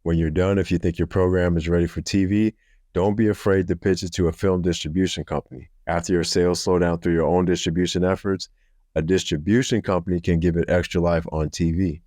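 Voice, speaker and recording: A man with a deep voice giving a practical, matter-of-fact how-to overview, speaking deliberately slowly and clearly. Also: darkish tone, dry recording, clean studio sound. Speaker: video creator giving a how-to. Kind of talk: instructional talk to camera.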